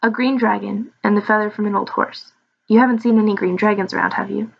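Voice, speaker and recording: A woman's voice: mid-range voice, steady tone, fast talker, gravelly, highs cut off. A woman voicing a protective, cautious young male companion who questions things urgently in a storybook reading.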